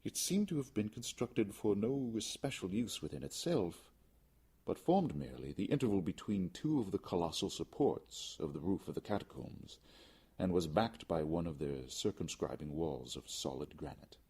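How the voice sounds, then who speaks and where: A man's voice: sits low, animated, moderate pace, warm tone, husky. A man reading a gothic story aloud as dramatic, scripted audiobook narration. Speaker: audiobook reader.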